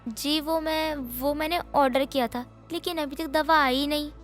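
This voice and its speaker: A woman plays a dutiful young daughter-in-law in a morality tale. Her high voice is meek, polite and eager to please. A soft music bed plays underneath. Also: fairly even intonation, measured pace. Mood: meek, flustered. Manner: polite, deferential.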